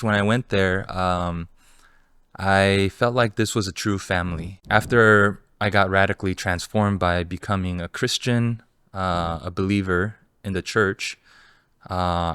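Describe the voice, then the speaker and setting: A man in his thirties from Los Angeles, a guest entrepreneur telling his story in a relaxed, candid way with many fillers. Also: low voice, unhurried, clear, resonant tone, dry recording, studio quality. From Los Angeles, California. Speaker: guest, entrepreneur and fund manager. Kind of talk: casual interview storytelling.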